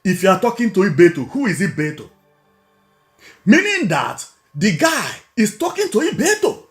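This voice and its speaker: Animated, high-pitched Nigerian man commentating. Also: normal speed, soft background music. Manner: emphatic, repetitive, rhetorical questions. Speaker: online video commentator. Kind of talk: informal political commentary, repeating and explaining points.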